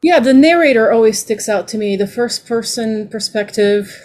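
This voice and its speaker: A woman's voice: on the high side, moderate pace, darkish tone. A woman from a theater company talking in a podcast panel discussion.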